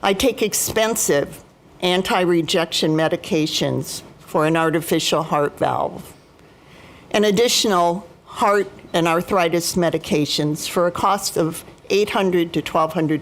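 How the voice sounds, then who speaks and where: An American woman in her seventies giving prepared personal testimony as a speaker, in a formal, deliberate and emphatic manner. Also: on the low side. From United States, Midwest. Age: 70s. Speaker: union retiree chapter president, guest speaker.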